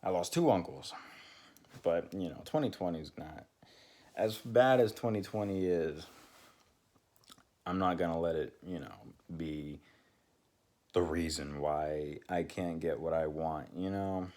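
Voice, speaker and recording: A young man with a deep voice, talking casually to his channel's viewers and rambling with many fillers and restarts. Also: measured pace, pauses often, mellow. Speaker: channel co-host. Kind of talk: casual talk to camera.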